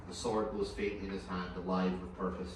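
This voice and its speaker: Male narrator for a table read: very low voice, scripted stage directions, heavy echo and background noise. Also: little pitch movement, fairly quick. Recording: very echoey room, far mic, clear noise.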